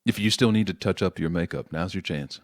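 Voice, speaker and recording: A man playing a remorseful politician, the father of a grown daughter, in an audio play, speaking in a halting, earnest way. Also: low voice, noisy background, lightly acted. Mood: gentle. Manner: halting, earnest, repeats himself.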